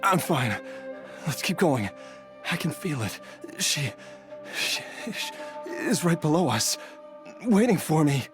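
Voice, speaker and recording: Halting, ailing young man, slow-spoken. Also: on the high side, lively intonation. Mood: weak but determined. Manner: halting, strained, earnest. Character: ailing, troubled young man, forgetful and determined. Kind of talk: video game voice acting. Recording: music behind the voice.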